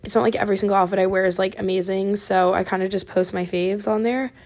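A college-age woman from Oregon hosting her podcast, chatting casually with a raspy voice and lots of fillers. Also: mid-range voice, fairly even intonation, quick pace, darkish tone. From Oregon, USA. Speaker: podcast host. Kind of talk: casual chat.

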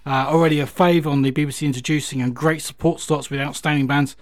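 A man's voice: fairly high pitch, steady tone, fast talker. A British man hosting a music radio show in a casual, enthusiastic, chatty and effusive way. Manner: chatty, effusive, with repeated emphatic praise.

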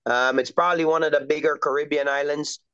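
A middle-aged man from Trinidad and Tobago chats easily as an interview guest. He wanders through his answers with plenty of ums and you-knows. His voice is smooth and resonant, though the recording sounds a little dull and lo-fi. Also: a little high, steady tone, fast talker, bright, forceful tone. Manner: easygoing, rambling with many fillers. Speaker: soccer coach, guest. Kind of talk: casual interview chat.

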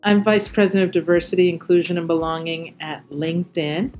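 A middle-aged American woman from New York City, talking reflectively as an interview guest in measured, articulate and deliberate phrases. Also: fairly low pitch, level tone, a little mellow, faint music behind. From New York City, United States. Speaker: interview guest, corporate diversity executive. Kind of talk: reflective personal talk in an interview.

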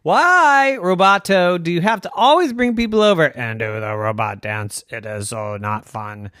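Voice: mid-range voice, big pitch swings, a little slow, no room sound, studio quality. A storyteller voicing an exasperated but kind young boy in a children's story.

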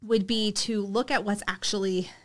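Woman podcast co-host, casual chat, unhurried and reflective, easygoing. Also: medium pitch. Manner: relaxed, reflective, hesitant with many fillers.

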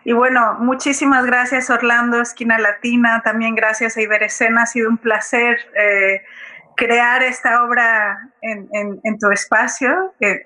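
A woman's voice: fairly high pitch, sharp tone, low-quality recording. A woman from Colombia speaking casually and off the cuff as a guest playwright on an online call. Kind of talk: casual, spontaneous thanks.

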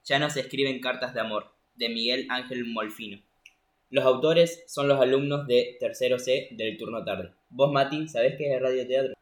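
A man's voice: medium pitch, normal speed. A teenage male student with an Argentine accent hosting a school radio programme. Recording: echoey room.

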